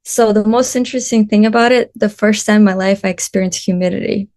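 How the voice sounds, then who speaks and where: Brief: Russian-born woman, thirties, relaxed podcast guest, laughs often, loose non-native grammar. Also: medium pitch, quick pace. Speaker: podcast guest, photographer and traveler. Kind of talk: casual interview chat.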